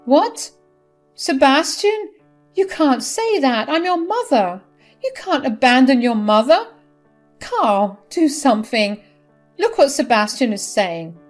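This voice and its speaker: A woman's voice: high voice, big pitch swings, slow, voice pushed up, heavily acted. A woman voicing a desperate middle-aged mother-in-law who pleads and whines, over faint music. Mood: shocked.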